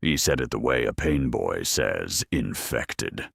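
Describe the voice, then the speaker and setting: A man narrating a scripted dramatic story in a wry, deadpan manner. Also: rumbling low, unhurried, vocal fry, husky, no echo, clean studio sound.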